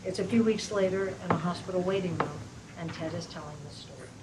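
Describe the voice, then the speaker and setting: Low-voiced woman reading directions. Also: fast talker. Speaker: stage-direction reader. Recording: distant mic, loud room noise.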